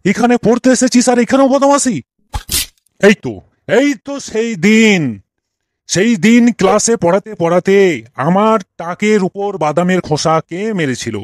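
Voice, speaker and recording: Man playing a high-voiced, cranky old schoolmaster. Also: expressive, unhurried, no echo, studio quality. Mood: indignant ranting. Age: older. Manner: ranting, exasperated, theatrical scolding with rhetorical questions. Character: cranky old bald schoolmaster, scolding his pupils. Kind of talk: comic cartoon dialogue.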